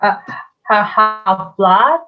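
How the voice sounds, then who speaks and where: Bewildered boy newcomer played by a woman: unhurried, halting disbelief, muffled recording with music. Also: medium pitch. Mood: confused. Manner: halting, incredulous. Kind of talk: musical theatre table read. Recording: dull lo-fi microphone.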